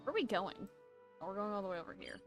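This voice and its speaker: Guy doing a lets play, kinda high creaky voice, chatting casually over game music. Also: animated, quick pace. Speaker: gamer, let's play host. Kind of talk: casual off-the-cuff gameplay commentary.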